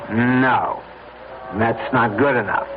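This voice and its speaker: An actor playing a bitter, determined blackmail victim in a radio drama, polite but insistent and deliberate. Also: male voice, medium pitch, fairly even intonation, narrow, tinny audio, background noise, close to an everyday voice. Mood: grim, resolute.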